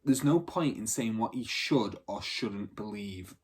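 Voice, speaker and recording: A man's voice: lowish voice, animated, unhurried, slightly rough. A man voicing a bossy, impatient but loyal young girl from a street gang in a storybook, with slangy scolding.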